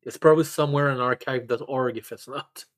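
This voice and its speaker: A man's voice: mid-range voice, fairly even intonation, a little fast. A man in casual, profane podcast chat about video games, often stammering and repeating his words as he talks. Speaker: podcast co-host.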